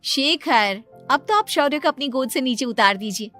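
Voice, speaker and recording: A woman's voice: high voice, animated, normal speed, a bit bright, faint music behind. A woman voicing a young wife and mother in a cartoon story, chiding and teasing her husband. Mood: gently chiding.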